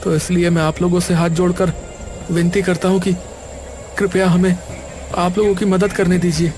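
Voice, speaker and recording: Dutiful young village lad male actor, earnest, higher-than-average pitch, animated story dialogue over music. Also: normal speed, natural voice. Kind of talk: animated story character acting.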